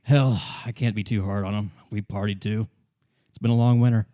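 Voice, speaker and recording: A man's voice: lowish voice, fairly even intonation, dark tone, no echo, close to an everyday voice. Man playing a guarded, wry young woman, very dry sound. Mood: wry, candid. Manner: polite, evasive, halting.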